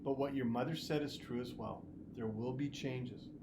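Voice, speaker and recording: A storybook reader playing a kindly, reassuring father who chats gently about his own youth. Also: medium pitch, fairly even intonation, normal speed, noisy background, close to an everyday voice. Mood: warm, playful.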